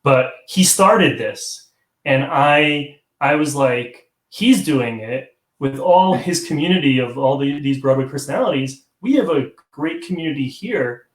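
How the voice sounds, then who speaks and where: A man's voice: on the high side, expressive, a little slow. A man hosting a live online theater talk show over a video call, chatting casually and rambling with many restarts and chuckles. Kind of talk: casual chat. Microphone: video call stream. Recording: roomy echo.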